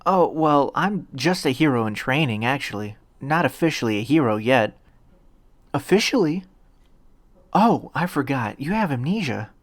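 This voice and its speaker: A man's voice: mid-range voice, big pitch swings, normal speed, no room sound, voice pushed up. A man voicing an earnest, polite, stammering teenage boy hero in training in a narrated story. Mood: eager, explaining. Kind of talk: acted audiobook dialogue.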